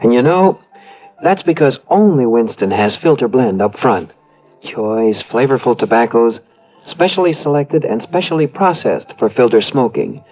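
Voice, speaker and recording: A man with an American accent reading a 1950s radio commercial as announcer, in deliberate, emphatic phrases. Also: mid-range voice, animated, faint music behind. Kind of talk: 1950s radio cigarette advertisement. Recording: muffled old radio recording.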